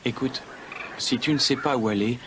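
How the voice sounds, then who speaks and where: A man voicing a kind young prince in a dubbed animated fairy tale, with a gentle, courteous and sincere manner. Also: mid-range voice, normal speed, background noise, lightly acted. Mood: gentle, curious.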